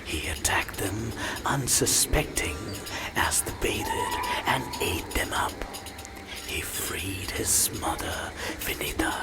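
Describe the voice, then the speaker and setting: A majestic, serene god telling ancient myths in a video game. The delivery is slow and breathy, close to a whisper, with solemn pauses. Loud music plays underneath. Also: male voice, lowish voice, animated. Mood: grave, serene. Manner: measured, solemn, deliberate pauses. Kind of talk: mythic game narration.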